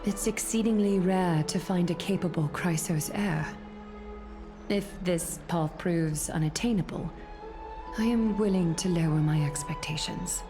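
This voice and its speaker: A solemn woman who leads heroes in a fantasy game. Her voice sits a little low and her delivery is composed, formal and regal. Music is clearly heard underneath. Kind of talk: scripted fantasy game dialogue.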